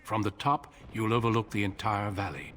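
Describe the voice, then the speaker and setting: An actor playing a calm, grave older warrior leader in a video game, speaking in a measured, formal manner. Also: male voice, lowish voice, lightly acted.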